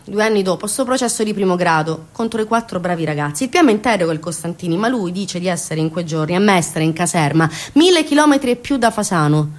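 A woman's voice: lowish voice, lively intonation, fast talker, hardly any pauses, natural voice. Actress as intense, swooping woman storyteller, bitterly indignant. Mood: ironic. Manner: sarcastic, dramatic, swinging from hushed to loud. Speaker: stage actress performing a monologue. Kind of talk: impassioned theatrical narrative monologue.

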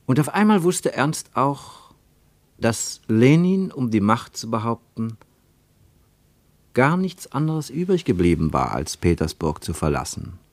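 An actor as the narrator of a literary radio travel report, with formal diction and an emphatic, measured way of telling. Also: male voice, mid-range voice, very animated, no room sound. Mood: emphatic narration.

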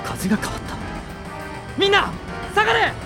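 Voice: extremely high pitch, big pitch swings, normal speed, music in the background. A performer playing a resolute, defiant young prince with firm, urgent speech in a radio drama.

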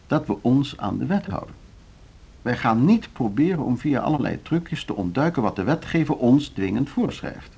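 An actor in a Dutch radio play playing a middle-aged board chairman, formal, deliberate and courteous but unbending, with a Netherlands accent. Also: medium pitch, mellow, breathy edge, highs cut off. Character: measured, legalistic male board chairman of a music school.

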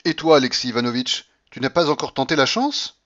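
A man's voice: medium pitch, expressive, brisk, fairly bright tone, lo-fi sound, voice pushed up, close to an everyday voice. A man voicing an imperious, eccentric rich old Russian grandmother in an audiobook, blunt and bossy.

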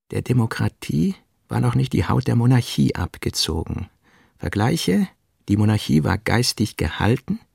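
Creaky-voiced man reading literary notes, dry studio. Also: lowish voice, darkish tone, no room sound. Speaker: reader of literary notes in a radio drama. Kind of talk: scripted literary radio reading, essayistic and fragmentary.

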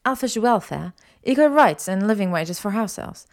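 A woman voicing a bright, earnest, brainy young witch from a story, articulate and animated. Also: mid-range voice, moderate pace, pitched above the performer's own voice, close to an everyday voice.

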